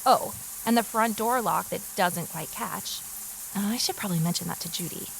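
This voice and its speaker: A woman's voice: medium pitch, moderate pace, gravelly, fairly bright tone, a little hiss or hum, rough recording. An actress playing a wry, candid woman starting over, narrating her own story in an audio drama with self-deprecating asides. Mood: wry, settling in. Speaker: lead character and first-person narrator. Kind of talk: scripted first-person audio drama narration.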